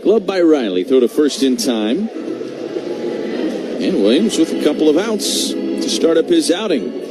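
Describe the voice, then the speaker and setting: A man's voice: a little high, big pitch swings, a little slow, loud music behind the voice. A man doing live radio baseball play-by-play in a wry, conversational way. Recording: noisy stadium broadcast feed.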